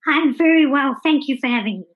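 A woman's voice: high-pitched, brisk, dull audio. A South African woman in her thirties giving articulate, orderly answers as a guest in a conversational interview. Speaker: podcast guest.

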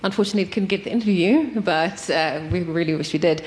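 A woman's voice: lowish voice, quick pace, a little mellow, poor audio. A woman explaining her work in an informal panel discussion. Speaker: radio programming head on a panel.

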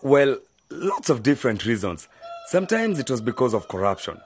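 A man playing a sensible, patient older brother figure who gives measured, explanatory advice in a radio drama, with a husky voice. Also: medium pitch, big pitch swings, soft background music. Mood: serious, explanatory.

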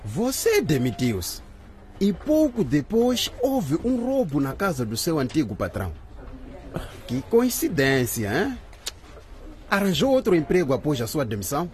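A man's voice: a little high, wildly swooping pitch, measured pace, a little mellow. A man acting in a radio drama as an intimidating police interrogator with a sarcastic, probing manner. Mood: sly, probing.

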